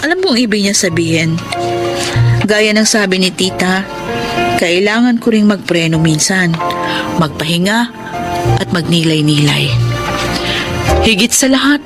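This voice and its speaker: A woman's voice: fairly low pitch, lively intonation, unhurried, pauses often. Radio drama lead: weary, lonely career woman, reflective and sighing, music underneath. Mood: reflective, resolved. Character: weary, lonely, successful career woman telling her life story. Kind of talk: Filipino radio drama acting and narration. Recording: background music over studio radio drama.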